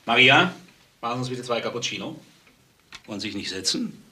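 Male doctor, crime drama: courteous, wary, husky, extremely forceful edge. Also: mid-range voice, big pitch swings, fairly quick, exaggerated voice. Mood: guarded. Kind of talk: German crime radio drama acting.

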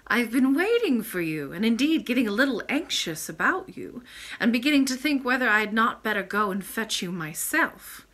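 A woman playing a sweet, wise old grandmother in a storybook, speaking in a gentle, patient, measured manner. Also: a little high, sing-song. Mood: affectionate.

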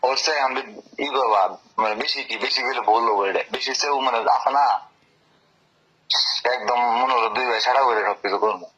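A man speaks quickly and forcefully, pressing his points and repeating them. The sound is a muffled phone recording with clear noise over it. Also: mid-range voice. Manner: domineering, insistent, repetitive. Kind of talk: informal phone conversation. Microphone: telephone.

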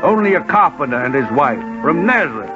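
A man's voice: a little high, sing-song, brisk. An actor playing an anxious, blustering servant of a king, urgent and scolding, in 1940s radio acting style. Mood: alarmed, scolding. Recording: lo-fi 1940s radio recording, music behind.

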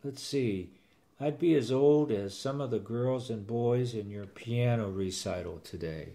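A man's voice: medium pitch, unhurried, gravelly. A man in his sixties narrating a first-person story and acting out its dialogue as a grandfather.

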